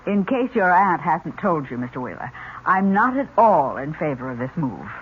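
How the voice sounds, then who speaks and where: A woman's voice: low voice, big pitch swings, normal speed. An actress playing a stern, disapproving nun in charge of a girls' home, formal and severe, in a 1970s radio drama. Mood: stern disapproval. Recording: muffled old radio recording, noticeable hiss.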